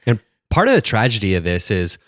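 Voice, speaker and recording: A man's voice: on the low side, big pitch swings, a little fast, fairly bright tone, no echo, studio quality. A man appearing as a podcast guest, just over a cold, speaking earnestly and with emphasis. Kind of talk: casual podcast chat.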